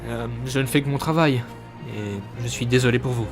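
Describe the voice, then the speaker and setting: A man playing a careful, serious hospital doctor who explains slowly forming thoughts in an audio drama. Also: medium pitch, music in the background, close to an everyday voice. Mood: serious, concerned. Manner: explanatory, measured, slightly hesitant. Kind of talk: French audio drama acting.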